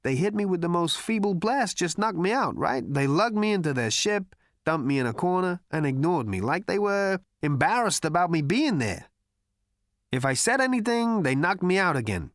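A man's voice: high voice, big pitch swings, moderate pace, dry recording, clean studio sound, voice pushed up. A man playing a brash, cocky alien guy. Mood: boastful. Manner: breezy, boastful, laid-back slang. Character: brash cocky male two-headed alien, adult.

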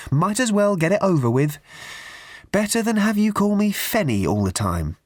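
A man's voice: high-pitched, sing-song, moderate pace, darkish tone, no room sound, voice pushed up. A man doing a wry, teasing young woman. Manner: dry, playful, firm.

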